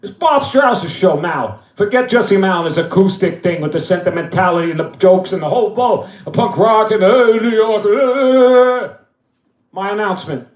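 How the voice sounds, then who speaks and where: A man's voice: high voice, normal speed, close to an everyday voice. A man putting on a voice as a brash, boastful veteran road manager who rambles and talks about himself in third person, as a comic bit on a live stream. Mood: rambling. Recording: roomy echo.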